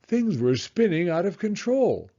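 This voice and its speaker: A man reading a children's story aloud in a theatrical, suspenseful way with drawn-out emphasis. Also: high-pitched, big pitch swings, dark tone, dull audio.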